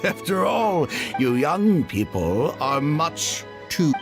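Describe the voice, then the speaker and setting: Casting: wordy middle-aged game character, avuncular and placating, very animated pitch on the higher side. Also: male voice, takes it slowly, music in the background, exaggerated voice. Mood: lecturing. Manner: wordy, cautious, avuncular lecturing. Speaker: voice actor in a game. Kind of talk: acted video game dialogue.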